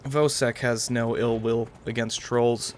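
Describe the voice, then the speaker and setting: A man's voice: medium pitch, little pitch movement, slow. A young man giving casual let's-play commentary as a gaming video host, musing aloud in a rambling way over game music. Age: young adult.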